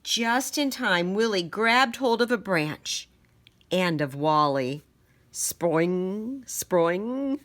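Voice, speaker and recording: A woman reading a children's picture book aloud in a dramatic, deliberate storytelling manner. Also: medium pitch, lively intonation, a bit bright.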